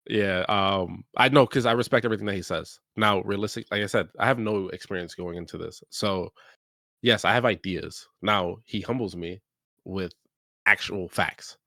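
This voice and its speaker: A man's voice: lowish voice, normal speed, vocal fry, bright, forceful tone, no room sound. A man who owns a game studio, speaking casually and candidly, openly admitting his mistakes. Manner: candid, self-deprecating. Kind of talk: casual chat.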